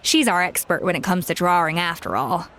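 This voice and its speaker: A woman's voice: on the low side, a little fast, a bit bright, slight background noise, natural voice. A woman voicing an earnest, eager young culinary enthusiast in an anime game, with music behind her. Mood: modest.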